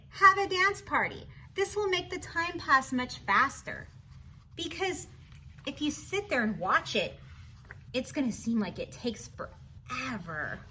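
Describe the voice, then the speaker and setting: A woman with a high, bright voice reads a children's storybook aloud. She is expressive and teacherly, often stepping out of the text with encouraging asides and questions. Some light noise sits behind her voice.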